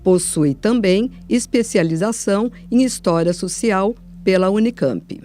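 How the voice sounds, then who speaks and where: A woman's voice: mid-range voice, expressive, unhurried, mellow. A woman with a Brazilian accent reading scripted narration as presenter of a radio documentary.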